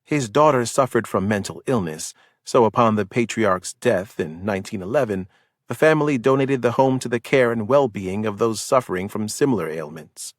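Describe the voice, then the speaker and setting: A man voicing a male doctor who calmly explains the hospital's history. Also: lowish voice, normal speed, no echo, studio quality. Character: male hospital doctor giving a calm tour.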